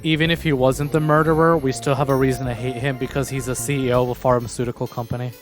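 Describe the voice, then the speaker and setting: A man's voice: medium pitch, level tone, fast talker. A man hosting a livestream, giving casual, teasing reactions to a visual novel as he plays. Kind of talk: casual reactive game commentary. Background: game audio and music.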